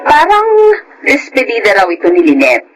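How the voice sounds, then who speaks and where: Obliging older sister, trusting wife, easygoing, animated pitch, radio drama, clear background noise. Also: female voice, normal speed. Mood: cheerful, untroubled. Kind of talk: Tagalog radio drama acting. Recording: noisy radio drama recording.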